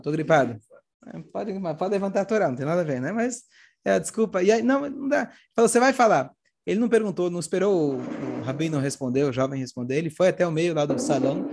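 Man, Brazilian accent, fairly high voice, hoarse from a cold, informal religious storyteller, acts out dialogue. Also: animated, fast, darkish tone. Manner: acts out dialogue while retelling.

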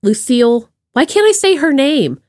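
A woman's voice: sits high, very animated, moderate pace, darkish tone. Woman hosting a casual podcast, playful, voice swings up and down a lot. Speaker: podcast host. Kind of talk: casual interview chat.

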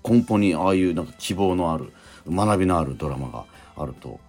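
Husky, low-voiced man chatting on radio. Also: animated, a little mellow. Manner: halting, reflective phrasing with many interjections. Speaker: radio show co-host. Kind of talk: casual conversational radio talk. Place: radio studio. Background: music playing behind the voice.

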